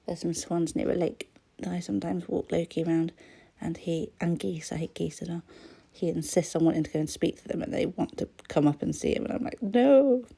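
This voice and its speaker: A croaky-voiced woman, chatting casually as she crafts, often trailing into a whisper. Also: lowish voice, a little fast, mellow. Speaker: crafting vlogger. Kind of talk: casual voice-over chat while crafting.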